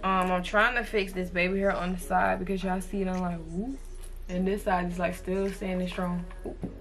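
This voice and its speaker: A young woman in her twenties talks casually and playfully to her viewers, her voice sitting a little low. She sounds close to a camera in a bedroom, with music playing clearly underneath. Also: level tone, moderate pace. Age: 20s. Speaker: vlogger. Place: bedroom, indoors.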